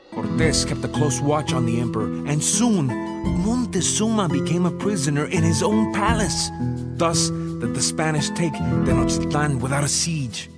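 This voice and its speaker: An actor playing a proud, grave Aztec jaguar warrior, narrating a game cutscene in a solemn, measured storytelling manner. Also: male voice, medium pitch, natural voice. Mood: troubled, indignant.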